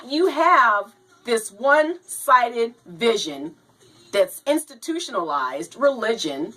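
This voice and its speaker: A woman hosting a video show, slow and halting, a little hoarse from a cold, talking to viewers and reading aloud. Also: a little high, fairly bright tone, low-quality recording. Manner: slow, halting, says "you know" and "now". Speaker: host.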